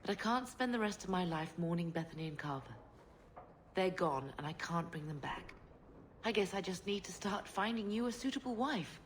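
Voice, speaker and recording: An actress playing a middle-aged noble mother in a video game, wistful and gently reflective. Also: fairly low pitch, lively intonation, normal speed, slight background noise, natural voice. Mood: mournful, fond. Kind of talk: video game cutscene acting.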